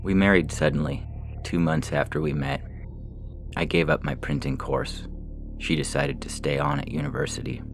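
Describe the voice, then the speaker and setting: A man reading a science fiction short story as scripted literary narration, in a measured, formal way, with music behind him. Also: a bass voice, very animated, creaky. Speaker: story reader. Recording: music bed under the voice.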